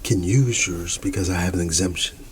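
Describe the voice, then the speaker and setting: A man doing a soft-spoken ASMR roleplay as an easygoing, quirky schoolteacher, often dropping into a whisper and repeating short, folksy, reassuring phrases. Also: sits low, a little fast. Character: quirky, easygoing male schoolteacher addressing his class. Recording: clearly audible background noise.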